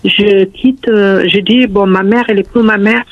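A woman's voice: mid-range voice, fairly even intonation, measured pace, no room sound. A woman from Brazil with a Brazilian Portuguese accent, calling a radio show and speaking hesitantly and earnestly with many fillers over the phone. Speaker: radio caller. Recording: dull phone line.

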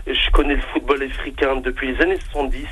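A man's voice: mid-range voice, steady tone, fast talker, fairly bright tone, slightly rough, poor audio. A man in his late forties calling a radio show, insistent and formal, pressing his point hard. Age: 40s. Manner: insistent, addresses hosts formally. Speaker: caller. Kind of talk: radio call-in, opinionated. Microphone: phone line.

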